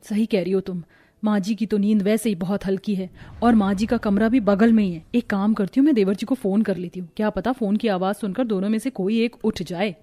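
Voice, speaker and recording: A woman's voice: medium pitch, steady tone, a little fast, faint music behind, lightly acted. A woman voicing a responsible, protective elder sister-in-law who instructs the family in a cartoon story. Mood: concerned. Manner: gently instructing.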